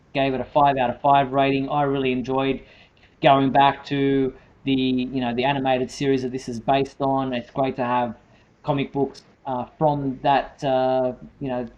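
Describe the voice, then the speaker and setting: A man hosting a live fan news show, announcing items in a halting way with frequent fillers. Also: mid-range voice, a little mellow, highs cut off. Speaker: show host.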